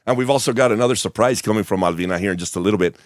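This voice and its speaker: A man hosting a live radio show and interviewing a guest, his voice hoarse and raspy, talking in a relaxed, rambling way with many fillers. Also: lowish voice, racing, dry recording, studio quality. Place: studio, streamed live on camera.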